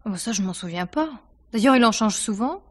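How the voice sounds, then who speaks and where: An actress playing a self-possessed, sardonic theatre star under suspicion in a 1950s-style French radio mystery, with a dry, poised delivery. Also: female voice, on the high side, lively intonation, a little fast. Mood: matter-of-fact. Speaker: radio drama actor.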